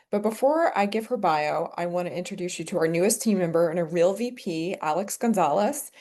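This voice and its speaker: Woman, seminar host, slightly low voice, enthusiastic, formal speaker introduction. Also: darkish tone. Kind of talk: formal introduction, partly read.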